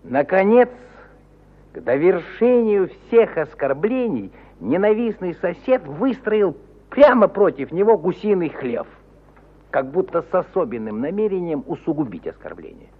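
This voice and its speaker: A man narrating a literary audio play in an expressive, theatrical 1950 Soviet radio style, moving between loud exclamation and hushed suspense, on an old recording. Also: high-pitched, unhurried, breathy edge, phone-quality sound.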